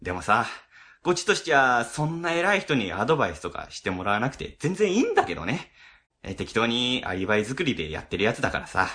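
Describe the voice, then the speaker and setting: A man's voice: medium pitch, very animated, fast, sharp tone. A man playing a middle-aged civil servant boss in a comic radio drama, chatty, easily flustered and ingratiating. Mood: casual, grumbling.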